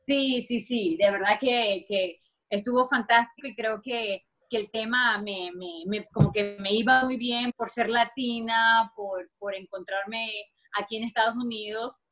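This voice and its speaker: Interview guest: middle-aged Venezuelan woman, Maracaibo accent, slightly high voice, talkative with lots of fillers. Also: steady tone, unhurried, fairly bright tone. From Venezuela (Maracaibo, Zulia). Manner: chatty, many fillers and restarts. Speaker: actress guest. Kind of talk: interview conversation.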